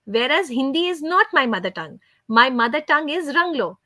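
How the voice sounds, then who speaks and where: A middle-aged woman from India speaks as a panelist, in a conversational way that often stops and restarts mid-thought. Her voice sits somewhat high. The sound is dry, with no room around it. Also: very animated, a little fast, bright, forceful tone, no echo. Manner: conversational, self-correcting. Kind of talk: online panel discussion.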